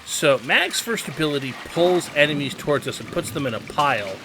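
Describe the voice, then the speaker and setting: A man's voice: fairly high pitch, animated, a little fast, noisy background. A man hosting a gaming video, giving instructional tutorial commentary and stressing his key advice.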